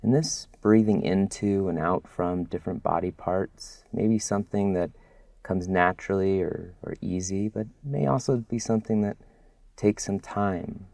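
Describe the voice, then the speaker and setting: A man guiding a body scan meditation as a mindfulness instructor, speaking gently and soothingly with long pauses. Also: low-pitched, creaky, dry recording.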